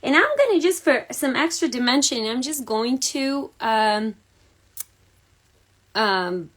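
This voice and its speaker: A woman's voice: sits high, lively intonation, slow, a bit bright. A woman from Serbia with a Serbian accent, chatting casually to viewers as she hosts a card-making livestream, greeting people by name and using many fillers. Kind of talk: casual crafting livestream chat. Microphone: phone or camera on a livestream.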